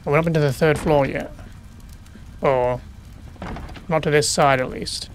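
A man's voice: a little high, fairly even intonation, a little slow, a little hiss or hum. A man casually commenting as he plays a horror game, muttering questions to himself as he explores. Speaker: gaming YouTuber. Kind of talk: casual let's play commentary.

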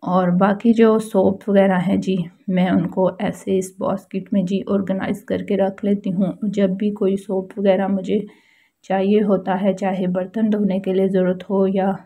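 A woman's voice: mid-range voice, level tone, unhurried, mellow. A woman with a Pakistani accent giving a casual how-to voice-over as a home vlogger, explaining things politely and repetitively.

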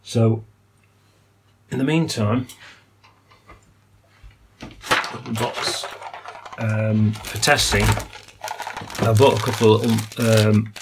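Older hobbyist, low gravelly voice, thinking aloud at the bench with plenty of uhs, faint hiss behind. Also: male voice. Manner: musing, technical, many ums and uhs. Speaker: electronics repair hobbyist. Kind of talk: hands-on repair walkthrough. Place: workbench indoors.

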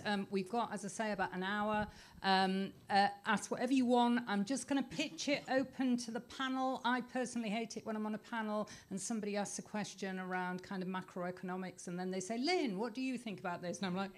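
Woman moderator, brisk and joking, mid pitch, handheld mic in a meeting hall. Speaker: panel moderator. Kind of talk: chairing a conference Q&A. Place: large conference room. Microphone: handheld microphone.

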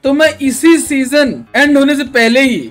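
A man's voice: very high-pitched, steady tone, a little slow. A young man chatting on a game livestream in a pleading, complaining way. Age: young adult. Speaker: live game streamer. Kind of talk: casual livestream chat while gaming. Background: background music.

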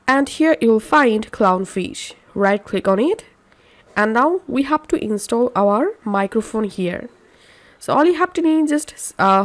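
A woman presents a step-by-step software guide. She takes it slowly and keeps to an instructional, scripted delivery with simple phrasing. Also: a little high. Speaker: tutorial presenter.

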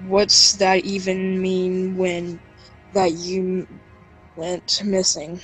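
An amateur actor playing an anxious, accusing restaurant worker in a horror audio drama, with halting, stilted delivery. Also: mid-range voice, completely flat delivery, fairly bright tone, soft background music, rough recording. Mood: suspicious, pressing.

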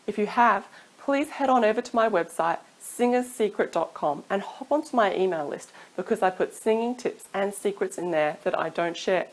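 A woman's voice: mid-range voice, lively intonation, moderate pace, rough recording. A woman in her thirties, a singing teacher giving chatty, encouraging advice to camera from a small room at home, laughing now and then. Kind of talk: casual advice vlog to camera. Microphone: studio mic off camera.

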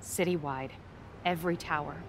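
Woman as a low-voiced, no-nonsense police captain. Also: fairly even intonation, normal speed, over music, lightly acted. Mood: urgent. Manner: clipped, businesslike. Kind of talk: cinematic video game acting.

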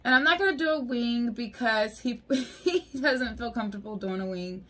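Bright-voiced congested woman chatting through makeup. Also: a little high, moderate pace. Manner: chatty, giggly, talks while applying makeup. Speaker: beauty vlogger. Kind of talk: casual makeup tutorial. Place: small room at home, indoors. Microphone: camera close in front.

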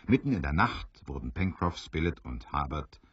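A man narrating an adventure radio play as an unhurried, knowing storyteller. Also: extremely low pitch, sing-song, dull audio, heavy background noise. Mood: suspenseful.

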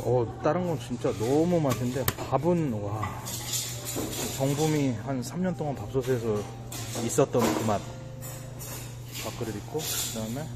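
A man narrating a casual vlog on location in an informal way, as if thinking aloud. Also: medium pitch. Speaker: food and travel vlogger.

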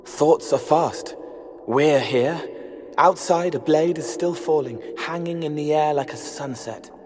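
An actor playing a gentle, eerie young spirit in a video game, halting and dreamy in his earnest wish to help. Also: fairly high pitch. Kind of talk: video game cutscene acting. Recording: music behind.

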